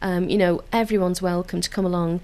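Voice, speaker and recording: A woman's voice: fairly low pitch, steady tone, a little fast, a little mellow. Liverpudlian woman, earnest and upbeat. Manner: earnest, enthusiastic, with frequent filler restarts. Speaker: council community engagement officer. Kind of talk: radio interview. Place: radio studio.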